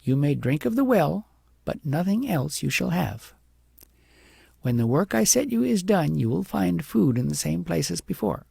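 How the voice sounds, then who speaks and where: A man voicing a stern wise woman in a storybook, scolding a spoiled child in a measured, commanding, admonishing way. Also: a little high, sing-song, creaky, warm tone, breathy edge, dry recording, clean studio sound, pitched above the performer's own voice, full character voice.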